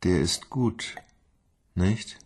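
A man's voice: deep voice, very slow, a little mellow, slightly rough, exaggerated voice. An actor playing a naive, childlike grown man who earnestly repeats his girlfriend's sayings. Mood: dreamy. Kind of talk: radio play acting.

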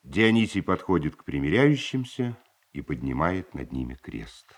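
A man's voice: a bass voice, big pitch swings, normal speed. A man narrating the stage action of an audio drama from a script.

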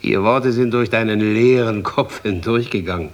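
A man's voice: on the low side, moderate pace, dull audio, lightly acted. A man playing a sly, taunting minstrel in a German radio drama, telling tales poetically and with mocking questions. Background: plucked guitar-like string music.

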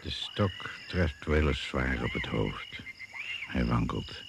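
An actor playing a brooding narrator of a radio jungle thriller, speaking in deliberate, suspenseful phrases. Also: male voice, rumbling low, expressive, vocal fry, heavily acted. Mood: grave urgency. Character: brooding, ominous male storyteller of a jungle thriller. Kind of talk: Dutch radio play narration. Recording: noisy old radio play recording.

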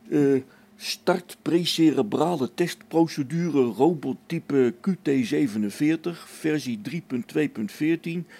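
A man's voice: a little high, vocal fry, warm tone, breathy edge, heavily acted. A man playing a practical, down-to-earth space-station technician in a radio play, brusque and colloquial. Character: practical, matter-of-fact male space-station technician, mildly irritable. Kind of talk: Dutch radio play acting.

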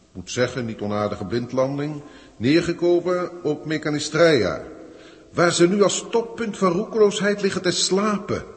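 A man with a Netherlands Dutch accent playing a pompous, exasperated senior alien official in a 1960s radio play, speaking formally and pedantically with deliberate hesitations. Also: on the high side. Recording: old radio recording, long hall reverb.

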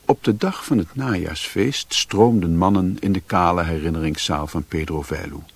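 A man's voice: sits low, moderate pace, vocal fry, breathy edge, no echo, full character voice. An actor playing a sombre man who recounts his grim adventures in literary radio drama narration. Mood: tense, reflective.

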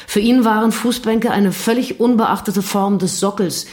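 A woman's voice: medium pitch, moderate pace, darkish tone, close to an everyday voice. An actress playing a thoughtful, slightly intimidated woman in an audio drama, speaking in a reflective, questioning way. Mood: recalling.

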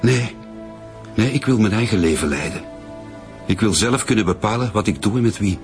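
A man's voice: on the low side, animated, moderate pace, faint music behind, close to an everyday voice. A young man playing a bereaved, defensive boyfriend in a naturalistic radio drama. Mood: earnest, defensive. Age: young adult.

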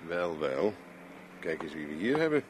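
An actor in a 1970s Dutch radio play, playing a cocky private investigator with a dry, teasing, self-mocking manner. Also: male voice, low voice, sing-song, a little slow, very noisy. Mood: casual, teasing. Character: wry, cocky male private investigator for hire.

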